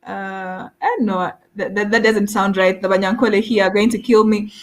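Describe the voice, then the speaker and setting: A woman taking part as a guest in an online panel, speaking hesitantly with many pauses. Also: medium pitch.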